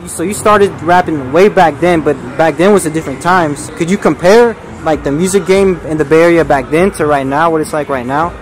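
A man's voice: on the high side, fairly quick, hardly any pauses, poor audio. Easygoing male interviewer, outdoors. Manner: short affirming interjections, easygoing questions. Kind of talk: casual interview. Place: outdoors at night. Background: traffic noise.

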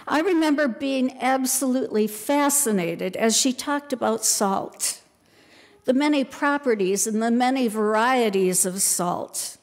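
Slow older woman preaching in a reverberant church. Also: fairly high pitch, darkish tone. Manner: slow, measured preaching with deliberate lists. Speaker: pastor. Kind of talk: sermon. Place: church sanctuary.

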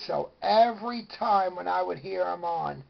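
A man's voice: high-pitched, moderate pace, creaky. An older man reminiscing casually in a rambling, storytelling way, recorded indoors on a camera microphone with dull sound. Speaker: vlogger. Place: small room indoors, in an armchair.

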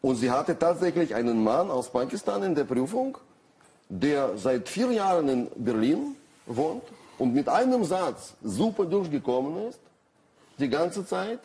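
Middle-aged man, Russian accent, husky, hesitant talk show guest with dry humor. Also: on the high side, very animated, a little slow, warm tone. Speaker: guest writer. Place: TV studio with audience.

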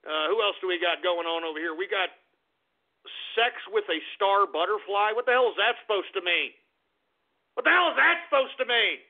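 A man hosting an internet talk radio show, ranting in a loud, profane, exasperated way, with sudden shouted outbursts. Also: high-pitched, animated, normal speed. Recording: tinny phone-like lo-fi audio.

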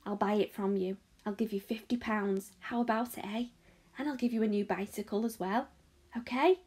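A woman voicing a sly tall man with a hushed, furtive manner in a children's audiobook. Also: mid-range voice, moderate pace, husky, lightly acted. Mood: furtive, coaxing. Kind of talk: children's audiobook character acting.

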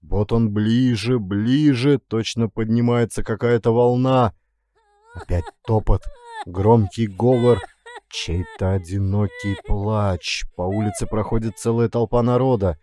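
Slow, low-voiced male audiobook narrator. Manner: suspenseful, expressive storytelling. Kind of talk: dramatic literary narration of a story.

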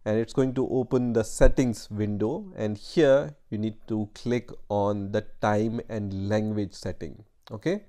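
Man, tech tutorial voice-over, lowish voice, mellow tone, steady step-by-step explaining. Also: measured pace. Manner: plain step-by-step instruction with frequent "uh" and "right?". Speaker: tutorial host. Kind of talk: screen-recorded software tutorial.

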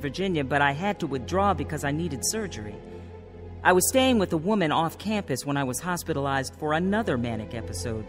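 An American actress playing an earnest, troubled woman who recounts her life confessionally in a radio drama. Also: low voice. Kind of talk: radio drama narration and dialogue. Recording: radio drama with music underneath.

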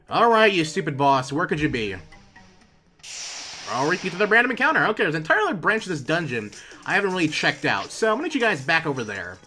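A man giving casual, rambling gameplay commentary as a let's player, halting and repeating words as he goes. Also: fairly high pitch, pushed and bright. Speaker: let's player hosting a video game series. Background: video game music.

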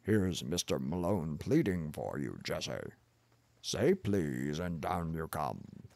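A man voicing a big, gruff, overbearing professor and husband in an audiobook, with a rumbling voice and a mocking manner. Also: sing-song, darkish tone, heavily acted. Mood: commanding. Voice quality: deep rumbling, gravelly. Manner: slow, mocking, commanding.

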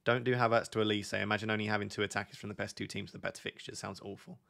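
A man's voice: on the low side, flat delivery, gravelly. A man in his thirties with a sore, fading voice, reading viewer questions and thinking aloud on a live stream from a home desk. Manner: thinks aloud, reads questions from chat, talks quieter and slower. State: losing his voice, sore throat. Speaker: fantasy football streamer. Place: indoor home studio at a desk.

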